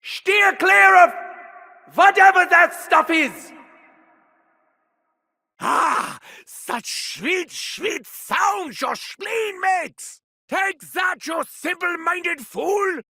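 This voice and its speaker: Shrieking manic mad scientist. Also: male voice, expressive, extremely slow, gravelly, bright, forceful tone, raised voice, exaggerated voice. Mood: alarmed, then gleeful taunting. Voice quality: high, strained, theatrical voice. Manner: hammy, taunting exclamations. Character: manic gleeful mad scientist, grandiose and sadistic. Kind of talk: video game character barks. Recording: long hall reverb.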